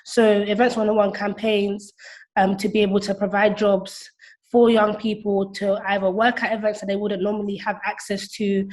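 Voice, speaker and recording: A young woman in her twenties answers interview questions on a video call. Her voice is medium in pitch with very little melodic movement. She explains things as a founder being interviewed. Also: little pitch movement, normal speed, darkish tone. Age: 20s. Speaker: interview guest, platform founder.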